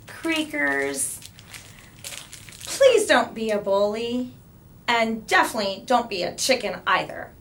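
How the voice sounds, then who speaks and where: A woman narrating a children's picture book expressively, with dramatic pauses and emphasis. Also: on the high side, fairly bright tone, slight background noise. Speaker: storyteller.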